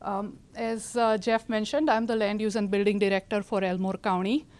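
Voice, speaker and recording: A middle-aged woman from India with an Indian accent, presenting as a government official in a polite, measured, explanatory way. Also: mid-range voice, little pitch movement, a little mellow. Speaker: county government director presenting. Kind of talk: prepared presentation to an audience.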